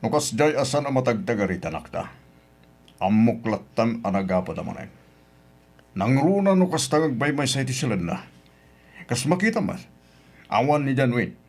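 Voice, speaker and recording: A man's voice: medium pitch, animated, moderate pace, long pauses, a little mellow, lightly acted. A man with an Ilocano Filipino accent acting a worried, protective middle-aged father in an old-time radio drama, serious and emphatic. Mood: worried, suspicious.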